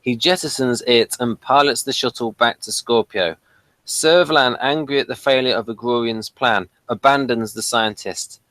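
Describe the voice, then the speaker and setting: A man hosting a review discussion, reading a summary aloud and chatting, sometimes haltingly. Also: mid-range voice, a bit bright, dry recording. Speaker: podcast review host.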